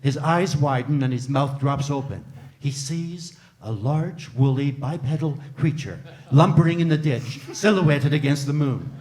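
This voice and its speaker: A man's voice: fairly high pitch, measured pace, darkish tone. An older man reading stage directions as scripted narration at a live table read, speaking into a stage microphone. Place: theatre stage before an audience. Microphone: microphone on stand.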